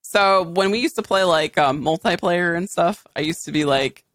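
Low-voiced woman, casual podcast guest. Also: moderate pace, dry recording. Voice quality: low voice with a lot of bass. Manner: laughing, swearing, filler-heavy.

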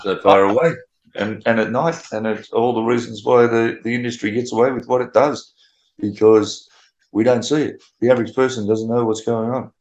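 A man's voice: mid-range voice, steady tone, moderate pace, darkish tone, reverberant, lo-fi sound. A man, an author guest on a radio chat, talking earnestly. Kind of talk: casual radio interview.

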